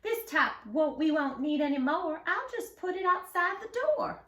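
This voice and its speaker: A woman's voice: sits high, animated, normal speed, a bit bright, roomy sound, pitched above the performer's own voice. A woman voicing an easygoing, practical, busy mother in a children's storybook. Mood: indulgent.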